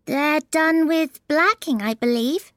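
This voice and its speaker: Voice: high-pitched, takes it slowly, pushed and bright, no echo, studio quality, voice pushed up, exaggerated voice. A performer voicing a curious, polite and timid little girl in a storybook, in a wondering, prim way.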